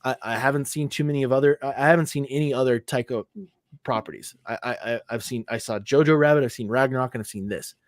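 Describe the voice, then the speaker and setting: Male podcast co-host, mid pitch, profane and heated, dry close mic. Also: quick pace, dry recording. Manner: profane, ranting, lots of fillers. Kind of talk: casual chat.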